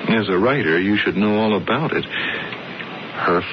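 A man's voice: fairly low pitch, a little slow, noisy background, close to an everyday voice. A man playing an impassioned, frustrated young sculptor and lovestruck husband in a 1970s radio drama, earnest and insistent. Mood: wistful storytelling. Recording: dull radio broadcast with loud music.

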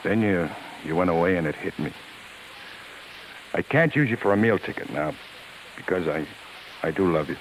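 An American actor playing a guilt-ridden, proud husband and struggling performer in a 1950s radio drama, speaking earnestly and hesitantly. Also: male voice, sits low, very animated, moderate pace, creaky, pauses often, full character voice, poor audio. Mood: remorseful confession. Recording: hissy 1950s radio broadcast recording.

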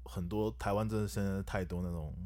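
A man's voice: low voice, steady tone, fairly quick, a little mellow. A man with a Taiwanese Mandarin accent who hosts a casual podcast chat, teasing his guests and speaking his mind. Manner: teasing, opinionated. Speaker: podcast host.

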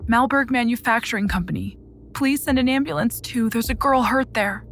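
A woman's voice: a little high, moderate pace, voice pushed up. A woman voicing an agitated, near-crying man making an emergency call in a true crime podcast. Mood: distressed, pleading. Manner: distressed, urgent, pleading. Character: agitated, weeping man phoning police in emergency. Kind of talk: dramatized phone call in true crime narration.

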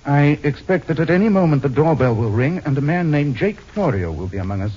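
An actor playing a suave, unflappable amateur sleuth with dry wit in an old-time radio detective drama, wry and hesitating deliberately. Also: male voice, a little high, big pitch swings, dark tone, slightly rough. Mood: wry, nonchalant. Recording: dull, muffled old radio recording.

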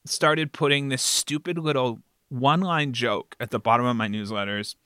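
A man's voice: medium pitch, normal speed, smooth, dry recording, studio quality. A man hosting a casual conversational interview, often checking in with the listener mid-thought. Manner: animated rhetorical check-ins, often ending phrases with "right?". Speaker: podcast interviewer and fiction podcast creator.

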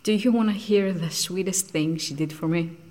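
Actress doing intense wistful woman scientist in a radio play, lowish voice, honest and deliberate, heartbroken storytelling. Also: darkish tone, lightly acted. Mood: animated, fond. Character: intense, candid Greek woman scientist recounting a painful lost love. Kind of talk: audio drama acting, confessional storytelling.

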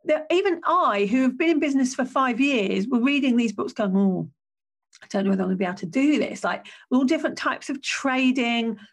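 A woman hosting her own business podcast, with a slightly croaky voice and a chatty, self-correcting way of talking. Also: high-pitched, expressive, a little fast. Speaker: podcast host.

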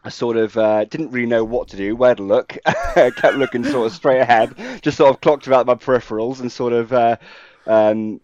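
A man's voice: mid-range voice, moderate pace, a little mellow, dull audio, a little hiss or hum. A man from Wales co-hosting a podcast, telling stories in a rambling, self-deprecating way with lots of ums. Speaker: podcast co-host. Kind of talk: casual podcast anecdote.

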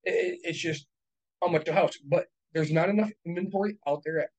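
A man in his thirties, a real estate investor, talking casually and bluntly, swearing and working through numbers out loud. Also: high voice, fairly quick. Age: 30s. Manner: profane, blunt, works through numbers aloud. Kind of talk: casual podcast chat.

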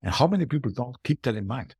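A man's voice: mid-range voice, lively intonation, quick pace, a little mellow, breathy edge, no echo. A middle-aged or older man with an Austrian accent and German as his native language, giving emphatic, conversational answers as an interview guest. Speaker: podcast guest, booking strategist.

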